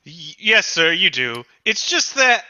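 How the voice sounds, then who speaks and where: A man's voice: sits high, big pitch swings, measured pace, sharp tone, full character voice. A man reading the part of a timid, bookish bank teller in a live radio-play reading, hesitant and apologetic. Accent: American. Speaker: live script reader.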